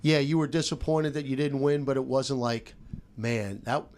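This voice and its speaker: An American man chats about sport as a radio commentator. His voice is medium in pitch and his delivery is casual and conversational. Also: normal speed, darkish tone.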